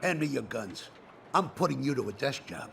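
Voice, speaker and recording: A man voicing a gruff police boss in a video game, stern, blunt and scolding, with a gravelly voice. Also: medium pitch, very animated, normal speed, a bit bright, slight background noise, exaggerated voice. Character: gruff, exasperated male police boss, stern but caring.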